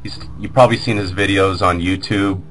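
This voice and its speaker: A man's voice: low voice, fairly even intonation, a little fast, a bit bright, a little hiss or hum. A man hosting an internet radio show, introducing an interview in an informal, unscripted way.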